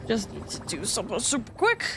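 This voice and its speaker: High-voiced, excitable woman livestreamer. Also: a little fast, background noise. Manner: excitable, expressive, repeats phrases for emphasis. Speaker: game streamer and host. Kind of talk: casual livestream chat with viewers.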